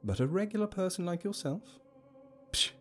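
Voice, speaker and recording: A man's voice: sits high, lively intonation, normal speed, fairly bright tone, soft background music, pitched above the performer's own voice. A man voicing a cocky, boastful healing goddess in an audio story, breezy and self-satisfied. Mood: smug.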